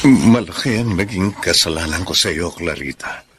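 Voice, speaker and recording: An actor with a Filipino accent playing a humbled, repentant injured ex-boyfriend, solemn and resigned, in radio drama style. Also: male voice, sits low, animated, moderate pace, noisy background. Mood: contrite, resigned.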